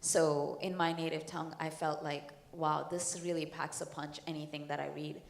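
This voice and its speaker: Very low-voiced woman from India. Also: flat delivery, normal speed, creaky. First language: Hindi. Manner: reflective, hesitant, with small laughs. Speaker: panelist, writer and actor. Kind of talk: casual panel talk. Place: conference hall. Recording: echoey room.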